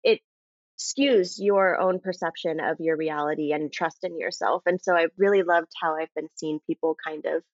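A woman's voice: low voice, fairly even intonation, normal speed, no room sound. A woman chatting casually and reflectively as a guest on a podcast. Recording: slightly dull, lo-fi sound.

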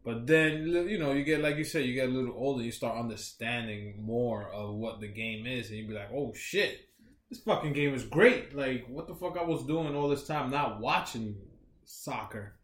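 A man's voice: mid-range voice, moderate pace, roomy sound. A man co-hosting a podcast, talking in brash, slangy casual chat with lots of cursing. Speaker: podcast co-host.

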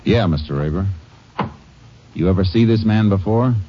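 An actor playing a firm Texas Ranger who also narrates, in a 1940s radio drama, on a dull old recording. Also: male voice, low-pitched, moderate pace, a little hiss or hum, natural voice. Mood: questioning. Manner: firm, clipped. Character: stern Texas Ranger lawman, man. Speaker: narrator and lead character.

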